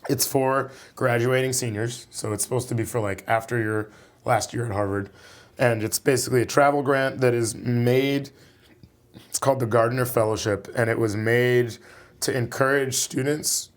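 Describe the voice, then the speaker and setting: Young adult man, podcast guest, easygoing and chatty, mid-pitched, stops and restarts often. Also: normal speed. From United States. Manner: relaxed, rambling, many fillers and restarts. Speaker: podcast guest, jazz saxophonist. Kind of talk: casual interview chat.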